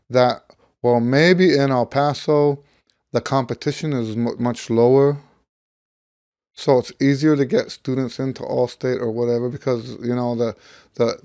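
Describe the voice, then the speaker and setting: Slightly hoarse middle-aged American man, dry recording. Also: mid-range voice, a little mellow, no room sound. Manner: rambling, conversational, thinking aloud. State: slightly hoarse, getting over losing his voice. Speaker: trumpet teacher. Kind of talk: casual talk to camera, personal anecdote.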